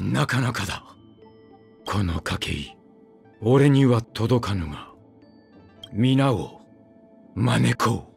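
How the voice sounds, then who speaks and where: A man's voice: creaky, breathy edge, full character voice. A man voicing a brooding, weary immortal swordsman in a game, speaking in terse, clipped phrases. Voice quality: low, curt, grim. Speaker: game character voice lines. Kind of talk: game voice-line acting.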